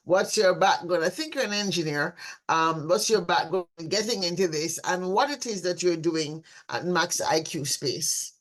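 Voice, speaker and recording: A woman's voice: lowish voice, normal speed. A woman moderating a panel discussion, introducing a guest and asking questions in a conversational way.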